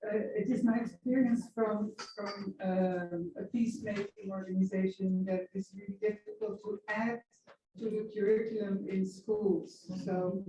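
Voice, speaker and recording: Woman, mellow, encouraging, faint ambience, echoey. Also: medium pitch, steady tone, unhurried, a little hiss or hum. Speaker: workshop participant. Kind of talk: audience comment at a workshop. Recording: echoey hall, far from mic.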